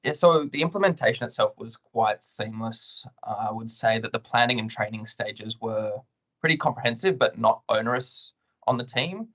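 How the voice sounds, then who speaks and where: A man speaking as a webinar guest, answering questions in a measured, professional, explanatory way. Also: mid-range voice, fairly bright tone, low-quality recording. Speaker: client guest, compliance lead.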